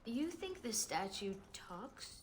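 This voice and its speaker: A woman's voice: a little high, sing-song, slow. A woman playing a sceptical, feisty young elven warrior in a fantasy video game, with a wry manner. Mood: wry scepticism. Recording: very noisy game ambience.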